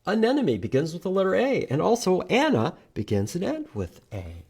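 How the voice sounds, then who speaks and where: A man's voice: high-pitched, wildly swooping pitch, fairly quick, darkish tone, breathy edge. A man hosting a children's storytime, reading aloud and teaching letters in short, simple phrases with sing-song emphasis for young listeners. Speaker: children's storytime host at a library.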